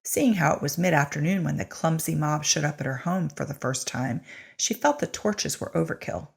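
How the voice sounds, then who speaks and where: A woman giving an expressive, wry scripted narration of a story in a husky, creaky voice. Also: sits low, normal speed. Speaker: audiobook-style reader of a short story.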